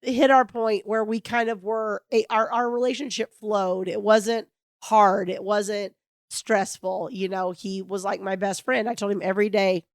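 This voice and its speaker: A middle-aged woman hosting a podcast alone, talking in an earnest, reflective and hopeful way, her voice raspy from a cold. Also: medium pitch, level tone, a little slow, no room sound, clean studio sound. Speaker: podcast host. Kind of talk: heartfelt solo podcast monologue.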